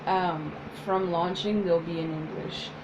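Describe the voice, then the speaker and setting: A woman whose first language is French, speaking as a psychotherapist in a soft, hesitant casual chat with many pauses. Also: fairly low pitch, steady tone, dull audio, noisy background. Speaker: psychotherapist, co-host.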